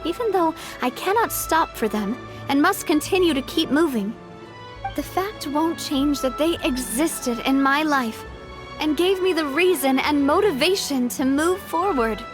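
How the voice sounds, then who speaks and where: A woman playing a brave, wistful young leader in a video game, speaking in an expressive, measured way. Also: high-pitched. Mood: reflective, resolute. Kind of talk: scripted game dialogue. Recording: music behind the voice. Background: game music.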